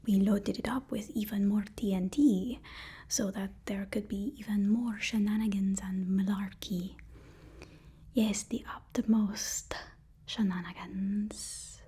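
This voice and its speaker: A woman's voice: mid-range voice, fairly even intonation, takes it slowly, mellow, slightly rough. A woman doing a whispered ASMR role-play as a supervillain's timid, apologetic assistant, speaking in a hesitant, placating way. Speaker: ASMR performer playing a villain's personal assistant.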